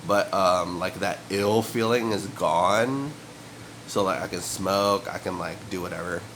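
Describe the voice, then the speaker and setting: A young man talks to his camera at home in a low, stuffy voice, sniffling and clearing his throat now and then. His delivery is loose and meandering, padded with fillers. A faint hum of room ambience sits behind him. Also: unhurried, fairly bright tone, poor audio. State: sniffly with a cold and cough. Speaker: vlogger. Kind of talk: rambling casual monologue to camera. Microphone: camera mic.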